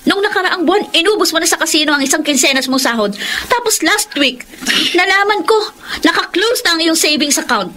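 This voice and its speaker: An actress playing an anxious, long-suffering wife in a radio drama, with emotional, insistent delivery. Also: female voice, very high voice, expressive, brisk, bright, forceful tone, slight background noise, heavily acted. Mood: accusing. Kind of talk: Tagalog radio drama acting.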